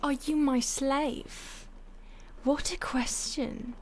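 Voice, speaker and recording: A woman playing a playful, condescending vampire stalker in an intimate audio roleplay, teasing and haughty in a breathy voice that often drops to a whisper. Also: high voice, steady tone, drawn-out words, slight background noise. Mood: haughty amusement.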